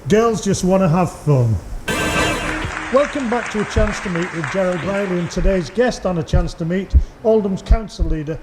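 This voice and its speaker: A man's voice: high-pitched, normal speed, over music, a crowd in the background. A middle-aged or older man, hosting a casual radio interview in a genial, jokey way. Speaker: community radio host, interviewer. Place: live radio theatre with audience. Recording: echoey room, faint background noise.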